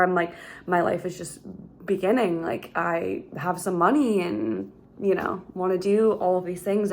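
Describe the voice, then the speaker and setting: A woman, chatting casually in a rambling vlog at home, full of "like" and "um". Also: lowish voice. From Minnesota, USA. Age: 30s. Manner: rambling, chatty, heavy use of "like". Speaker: vlogger. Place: her home.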